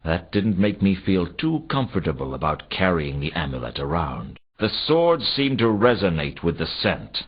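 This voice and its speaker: Hardboiled werewolf detective for a noir game, world-weary deadpan, husky low voice, kinda muffled old game audio. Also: male voice, moderate pace, few pauses, exaggerated voice. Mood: uneasy, brooding. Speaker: narrator and protagonist of a detective adventure game. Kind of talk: noir detective inner monologue. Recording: dull, compressed 1990s game audio.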